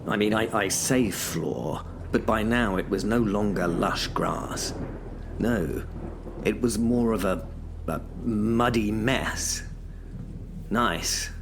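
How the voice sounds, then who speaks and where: A man's voice: fairly low pitch, slow pace, vocal fry, noisy background. A man narrating a first-person horror audio drama in a wry, self-mocking way. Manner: wry, self-mocking, dry British humour. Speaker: narrator and lead character.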